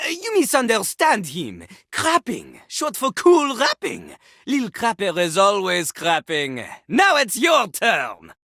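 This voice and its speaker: Cocky french rapper toilet dude for a game, husky, swoops all over, talks about himself like royalty. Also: female voice, fairly high pitch, a bit bright, no room sound, clean studio sound, full character voice. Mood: boastful, insistent. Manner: pompous, refers to himself in the third person. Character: cocky, boastful French rapper toilet, male, vulgar and vain. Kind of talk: theatrical video game character acting, breaking into rap.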